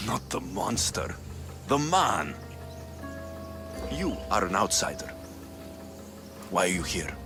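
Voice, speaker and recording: A game hero mercenary, lowish voice w lots of movement, blunt n dry, protective. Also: male voice, sing-song, slow pace, pauses often, music in the background, full character voice. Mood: blunt, guarded. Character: rugged male Greek mercenary, blunt, protective, reluctant hero. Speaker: lead character in an action-adventure game. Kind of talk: dramatic game cutscene dialogue.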